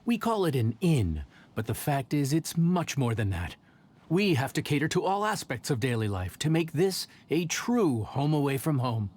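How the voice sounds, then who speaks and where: A man's voice: fairly high pitch, sing-song, normal speed, a little mellow. An actor playing a level-headed, polite young male inn chef in an anime-style video game. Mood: courteous.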